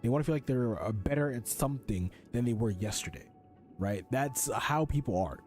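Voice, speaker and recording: A man's voice: mid-range voice, animated, fairly quick, darkish tone, soft background music. A man streaming a game with casual, opinionated commentary, blunt and emphatic, repeating his points and swearing freely.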